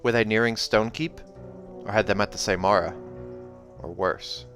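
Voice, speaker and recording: A man's voice: fairly low pitch, moderate pace, a bit bright. A man hosting a read-aloud, narrating a fantasy novel and dropping in casual asides. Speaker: host reading a novel aloud. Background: background music.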